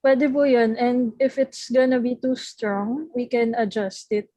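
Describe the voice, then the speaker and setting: A woman's voice: fairly high pitch, fairly even intonation, a little slow, dark tone, no echo. A young woman with a Filipino accent, speaking politely and deferentially as she organizes things on a group video call. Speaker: organizer of a table read. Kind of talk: casual meeting talk.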